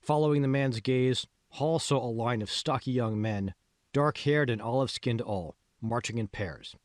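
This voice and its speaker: A man's voice: medium pitch, moderate pace, no room sound, clean studio sound. A man reading scripted fiction as the narrator of an audiobook. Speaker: author reading own audiobook.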